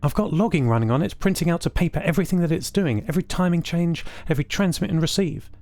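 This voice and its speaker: A man's voice: fairly high pitch, lively intonation, fast talker, a little mellow, no echo, voice pushed up. A man voicing a friendly, helpful female radio technician in a casual audio drama conversation. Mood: focused, explaining. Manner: casual, easygoing, chatty. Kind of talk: scripted audio drama dialogue.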